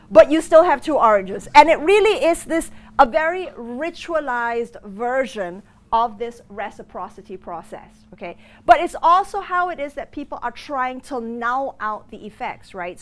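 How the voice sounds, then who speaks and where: A fairly high-voiced woman with a mixed Singaporean and American accent teaches a class. She asks the room questions and tells her examples with lively storytelling. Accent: muddled Singaporean and American. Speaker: business school lecturer. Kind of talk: interactive classroom lecture.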